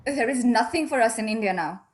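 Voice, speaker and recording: A woman's voice: on the high side, animated, brisk, slight background noise, lightly acted. A woman playing a proud, composed young lady in a radio drama, speaking with polite formality. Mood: cool, reproachful.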